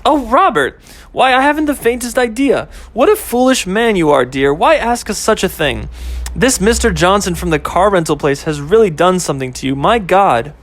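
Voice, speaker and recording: Man voicing a high, scoffing young girlfriend. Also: lively intonation, fairly bright tone. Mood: mock-prim. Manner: scoffing, rapid-fire rhetorical questions. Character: young woman girlfriend, flippant and dismissive. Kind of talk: amateur audio story acting.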